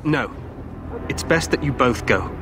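A man's voice: mid-range voice, lively intonation, a little slow, background noise, close to an everyday voice. An actor playing an eager, self-assured young warrior king in a fantasy game, regal and declamatory. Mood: commanding. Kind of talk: dramatic fantasy game acting.

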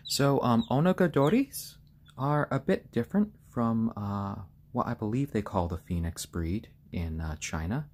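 A man with a slightly hoarse voice, chatting casually and gently on a live stream from a room at home, in halting explanations and pet talk. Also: mid-range voice, animated. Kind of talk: casual chat on a live stream, with cooing to pets. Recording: clear background noise, bird sounds.

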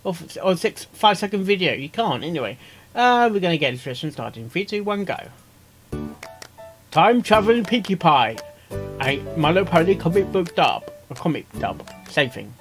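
Rambling higher-voiced man reacting to videos. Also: very animated, moderate pace, soft background music. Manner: rambling, repetitive. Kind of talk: casual reaction commentary. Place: small cluttered room indoors.

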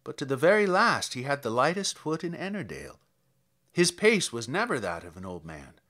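A man voicing an old, reflective country priest in a dramatic verse reading, telling tales in a measured, plainspoken way. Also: medium pitch, sing-song, a bit bright. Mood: fond, mournful.

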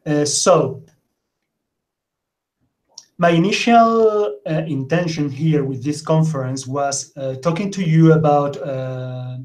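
A man's voice: fairly high pitch, slow pace, poor audio. A man in his forties from Argentina, giving a conference talk over a video call, hesitant and full of fillers. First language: Spanish. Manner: hesitant, many fillers, animated. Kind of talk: remote conference presentation. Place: indoor home room. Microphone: webcam on a video call.

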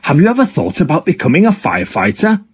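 A man's voice: on the high side, wildly swooping pitch, fast talker, breathy edge, voice pushed up, exaggerated voice. A man voicing a friendly, encouraging firefighter dog in a children's storybook, speaking in an emphatic, instructive way. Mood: encouraging, proud. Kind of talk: children's storybook character reading.

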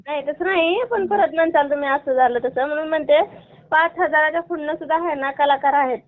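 A woman's voice: high-pitched, a little fast. A young woman with a rural western Maharashtrian Marathi accent, chatting casually on the phone. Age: young adult. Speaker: social media video performer. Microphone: phone line. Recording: tinny phone call recording.

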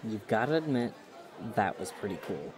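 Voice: mid-range voice, very animated, normal speed, a little mellow, a faint crowd, lightly acted. An actor playing a cheeky, friendly man in his early twenties in a casual audio drama, playful and teasing. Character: cheeky, friendly 22-year-old man, Bailey.

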